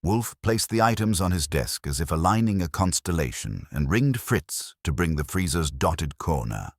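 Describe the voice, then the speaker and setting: A man's voice: low-pitched, big pitch swings, normal speed, dry recording, clean studio sound. A man narrating a first-person detective story in a wry, hard-boiled way. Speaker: audiobook narrator.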